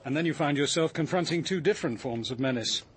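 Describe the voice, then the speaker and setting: An actor playing a courteous, quietly probing gentleman in a radio mystery, with measured, articulate delivery and an English accent. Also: fairly high pitch, slight background noise, natural voice. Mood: gently probing. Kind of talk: naturalistic radio drama acting. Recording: faint outdoor ambience behind the voice.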